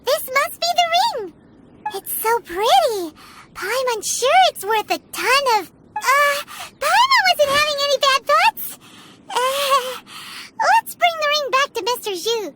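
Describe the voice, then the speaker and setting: A woman voicing a tiny, childlike, bubbly fairy companion in an anime game, chatty and greedy, with a squeaky voice. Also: very animated, a little slow, noisy background, full character voice. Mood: excited. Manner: refers to self in third person, bubbly. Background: prominent game music.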